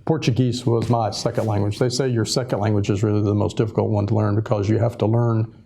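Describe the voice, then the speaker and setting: A middle-aged man recounting life abroad as a guest in a relaxed TV interview, talking slowly with many restarts. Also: on the low side, breathy edge. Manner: unhurried, many restarts and fillers. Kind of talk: casual interview conversation. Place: local TV studio.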